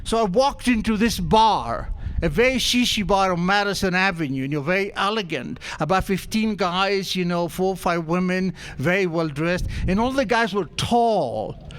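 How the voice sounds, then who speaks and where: Older Argentine-accented man, high voice, self-deprecating storyteller. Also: animated, normal speed, a bit bright, clean tone. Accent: Argentine Spanish. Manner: self-mocking, humorous, conversational. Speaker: recovery meeting speaker. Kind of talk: storytelling talk to an audience.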